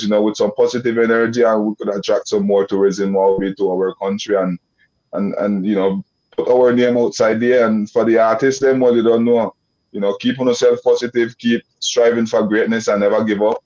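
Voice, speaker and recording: A young man from Nicaragua speaks English with a Creole accent, his voice dark and mellow. He rambles warmly and restarts his sentences, leaning on "you know". The video call audio sounds dull and lo-fi. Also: fairly low pitch, flat delivery, a little fast. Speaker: guest. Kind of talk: casual video-call interview. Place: indoor room.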